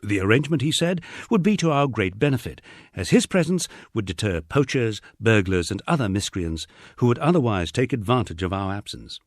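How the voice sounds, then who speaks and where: Low-voiced man, very animated storytelling. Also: a little fast, no echo, clean studio sound. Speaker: audiobook narrator. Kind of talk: scripted narration of a humorous memoir.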